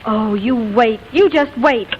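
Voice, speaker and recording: Actress as haughty young glamour girl, high voice, coquettish, fiery, theatrical, 1940s comedy, muffled old recording. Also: a little slow, natural voice. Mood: indignant. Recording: muffled old soundtrack, hissy noise.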